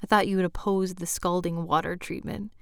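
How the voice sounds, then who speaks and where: A woman's voice: fairly low pitch, fairly even intonation, a little fast, gravelly, smooth, dry recording, clean studio sound. A woman voicing an easygoing, mild man who tends to hedge, in an audiobook. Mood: casual, teasing. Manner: hesitant, mild. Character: easygoing, hedging man nicknamed Swifty. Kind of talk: audiobook character dialogue.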